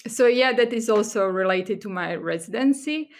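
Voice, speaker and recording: Female podcast guest, academic expert with a Croatian accent, medium pitch, explains in a halting conversational way. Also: animated. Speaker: guest expert, assistant professor.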